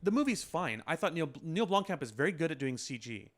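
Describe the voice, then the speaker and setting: A man's voice: fairly high pitch, very animated, a little fast, a bit bright. A man co-hosting a casual podcast chat, rambling through his points with frequent restarts and fillers. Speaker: podcast co-host.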